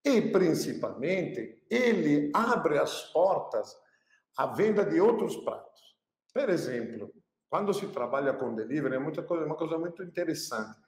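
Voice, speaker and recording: A man's voice: on the high side, normal speed. A man with a Pernambuco accent, a chef talking casually to camera about selling dishes, stressing points by repeating phrases. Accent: Pernambuco Brazilian Portuguese. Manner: expressive, repeats phrases for emphasis. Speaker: chef giving cooking business advice. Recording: echoey room.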